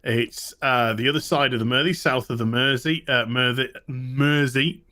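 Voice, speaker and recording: A man's voice: mid-range voice, fairly even intonation, moderate pace, bright, forceful tone. A British man co-hosting a TV review show, telling things in a casual, rambling way. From United Kingdom. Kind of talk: casual recap chat.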